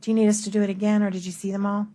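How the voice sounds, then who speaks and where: A woman's voice: mid-range voice, flat delivery, racing, mellow. A woman lawyer questioning a witness in a courtroom, formal, pressing and insistent. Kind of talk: courtroom cross-examination.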